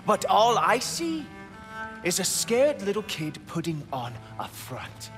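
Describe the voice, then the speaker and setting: A sneering god from a video game. The voice runs fairly high and swoops widely, lingering slowly over each sarcastic taunt. He is smug and theatrical throughout. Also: huge pitch swings, a bit bright, faint music behind, exaggerated voice.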